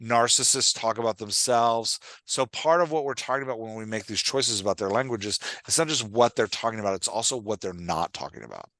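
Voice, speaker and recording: A man's voice: lowish voice, animated, a little fast, few pauses, fairly bright tone, no room sound. A man hosting a podcast as a writing coach, explaining conversationally, thinking aloud and often checking that he is understood.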